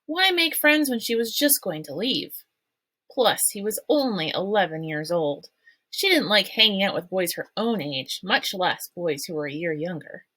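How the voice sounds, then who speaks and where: A woman reading a children's novel aloud chapter by chapter, in steady, unhurried storytelling. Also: mid-range voice, breathy edge, dry recording. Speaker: read-aloud narrator.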